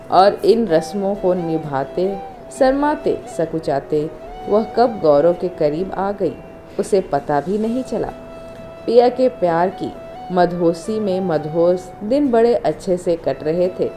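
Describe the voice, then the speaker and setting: Female narrator for emotional family drama story, unhurried and expressive, medium pitch, clear music bed. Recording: music under the voice. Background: instrumental music.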